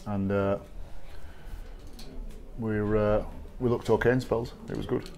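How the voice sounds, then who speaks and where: A middle-aged man with an English accent, a rugby coach answering post-match press questions in a measured, halting way with many fillers. He sits at a table in a small press room. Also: fairly low pitch, steady tone, creaky, warm tone, slight background noise. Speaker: rugby league head coach. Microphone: table microphone.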